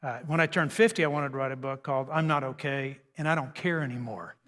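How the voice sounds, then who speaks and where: A man's voice: mid-range voice, fast talker, a bit bright. An older man giving a folksy, joking, conversational talk on family life to a live audience, poking fun at himself. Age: 60s or older. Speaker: speaker on raising teens, radio host. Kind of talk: humorous seminar talk to a church audience. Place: large church hall, speaking to an audience. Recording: live hall with long reverb.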